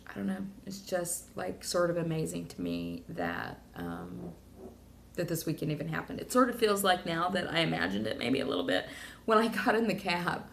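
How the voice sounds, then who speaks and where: A woman sharing a rambling, emotional personal video diary, a little hoarse from talking. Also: fairly low pitch, big pitch swings, normal speed, fairly bright tone, slight background noise. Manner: rambling, emotional, many fillers and restarts. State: slightly hoarse. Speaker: vlogger.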